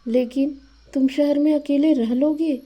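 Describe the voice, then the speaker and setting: A woman's voice: sits high, steady tone, measured pace, dark tone. A woman playing a caring village mother of a grown son in a cartoon story. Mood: concerned. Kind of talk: Hindi cartoon moral-story voice acting.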